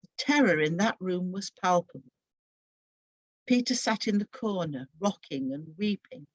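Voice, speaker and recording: A woman giving an expressive, solemn first-person dramatic narration of a Bible story from a script. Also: fairly low pitch, unhurried, dry recording, highs cut off.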